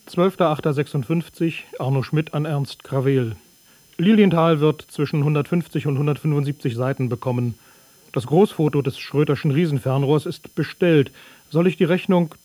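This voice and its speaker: A man reading letters aloud in a radio production, plain and steady. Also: fairly high pitch, moderate pace, dry recording. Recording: dry studio.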